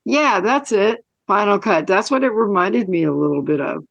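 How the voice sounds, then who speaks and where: Creaky-voiced woman in casual podcast chat. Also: medium pitch, animated, moderate pace, dry recording, dull audio. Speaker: podcast co-host.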